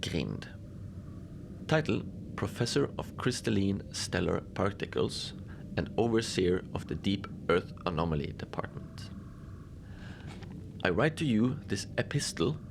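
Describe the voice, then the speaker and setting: A man playing a chatty, wry manager of an Antarctic research facility in an audio drama, talking in a rambling, deadpan way with hesitant asides. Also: sits low, creaky, full character voice. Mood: puzzled, deadpan. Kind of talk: conversational monologue, log-style audio drama. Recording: noisy background ambience.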